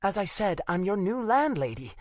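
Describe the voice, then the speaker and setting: A woman's voice: medium pitch, very animated, normal speed, darkish tone, no room sound, clean studio sound. A woman playing an indignant young American landlady in an audiobook, speaking in an insistent, emphatic way. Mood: firm, composed. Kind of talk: audiobook character dialogue.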